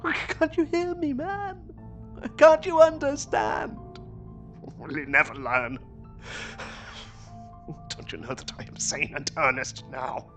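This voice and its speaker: An actor playing a desperate, fervent asylum patient in an audio drama, pleading theatrically with well-bred diction. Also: male voice, extremely high pitch, steady tone, slow pace, long pauses, fairly bright tone, faint music behind, heavily acted. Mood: desperate urgency. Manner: theatrical, fervent, swinging from whispers to cries.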